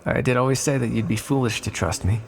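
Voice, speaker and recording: Gravelly, courteous ancient villain, played by a man. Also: medium pitch, steady tone. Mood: hurt, dismayed. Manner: hesitant, halting, gently persuasive. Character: ancient sealed male villain, softly pleading, courteous, secretive. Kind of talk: ASMR roleplay monologue.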